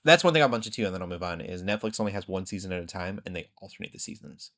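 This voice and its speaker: A man hosting a podcast, telling rambling, animated stories in casual conversation. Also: low-pitched, racing. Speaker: podcast host.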